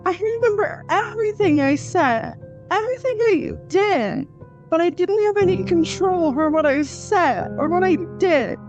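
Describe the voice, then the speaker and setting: Woman acting headstrong young heroine, high voice, emotional, accusing, audio drama, prominent music behind. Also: lively intonation, normal speed, a little mellow. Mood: angry, hurt. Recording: slightly dull, music underneath.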